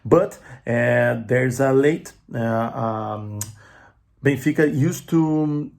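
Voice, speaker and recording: A man from Brazil speaks English with a clear Brazilian Portuguese accent and a gravelly edge. He hesitates often, restarts words and leans on fillers, repeating "okay" as he goes. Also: mid-range voice, very slow, darkish tone. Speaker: guest club channel presenter. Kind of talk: casual recorded message.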